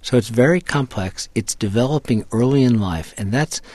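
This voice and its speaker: A scientist being interviewed who explains in a measured way, often repeating words as he thinks. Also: medium pitch, darkish tone, no echo. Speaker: scientist guest. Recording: dry close-mic studio sound.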